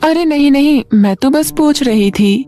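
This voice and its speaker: A woman's voice: high-pitched, animated, moderate pace, warm tone, pitched above the performer's own voice. A woman voicing an eager, polite, kind-hearted young schoolgirl in a storybook fairy tale. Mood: friendly. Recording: music bed under the voice.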